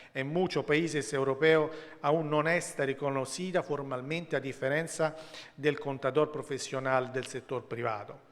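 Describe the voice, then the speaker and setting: A man from Italy with Italian as his first language gives a careful conference statement, stumbling over some words as he goes. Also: mid-range voice. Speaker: panelist. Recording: long reverb in a large hall.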